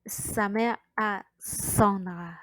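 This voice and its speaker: A woman's voice: medium pitch, fairly even intonation, very slow, no room sound. A woman playing a meek, evasive father in a radio drama, with a hesitant manner. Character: meek, evasive father eager to keep peace. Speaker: student actor.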